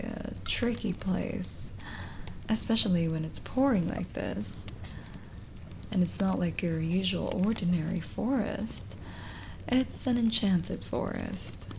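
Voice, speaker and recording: Kindly cottage witch, played by a woman for ASMR roleplay: unhurried, lowish creaky voice, gentle coaxing, hushed moments. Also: slight background noise. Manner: gentle, coaxing, hesitant pauses, drifting into whispers. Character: lonely, kindly forest witch, soothing and hospitable. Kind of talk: ASMR roleplay audio drama.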